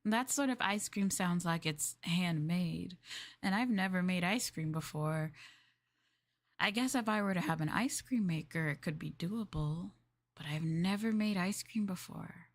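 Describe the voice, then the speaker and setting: A woman streamer chatting casually in a maid roleplay, rambling and laughing softly as she addresses her master. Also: fairly low pitch, unhurried. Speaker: VTuber streamer.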